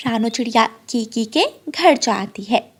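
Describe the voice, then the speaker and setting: Woman narrating a kids story, slow, slightly high voice, a bit husky and lively. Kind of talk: scripted children's story narration.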